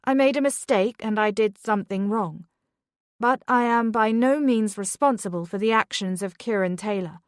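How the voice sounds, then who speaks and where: Woman narrator doing a proud wounded mum, forceful and dry. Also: medium pitch, animated, unhurried, dry recording, close to an everyday voice. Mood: defiant. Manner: firm, insistent. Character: defiant mother, proud and wounded.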